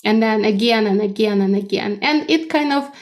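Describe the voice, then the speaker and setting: A woman in her thirties speaks English with a Russian accent at a middling pitch. She is a podcast guest giving conversational, explanatory answers, and she often checks that the listener follows. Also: moderate pace. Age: 30s.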